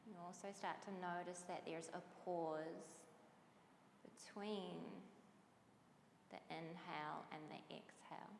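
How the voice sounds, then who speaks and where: A woman guiding a breathing meditation, speaking slowly and softly with long pauses. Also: lowish voice, steady tone, creaky. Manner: slow, soft and unhurried, with long pauses. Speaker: meditation guide. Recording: echoey room with long reverb and faint hiss.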